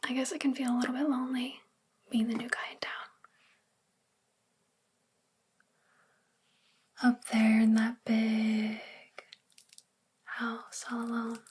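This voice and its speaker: A woman playing a sweet, gentle, self-doubting witch librarian in a soft-spoken, confiding ASMR roleplay with a breathy, whispery voice. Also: a little high, level tone, normal speed. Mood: curious, confiding.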